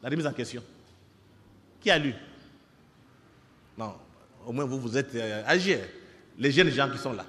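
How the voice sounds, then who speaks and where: A man's voice: medium pitch, animated, unhurried, pauses often, pushed and bright. Congolese man lecturing in an echoey hall. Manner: didactic, exhorting, with rhetorical questions. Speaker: speaker addressing an audience of young people and students. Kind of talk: impassioned public lecture. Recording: echoey hall with long reverb.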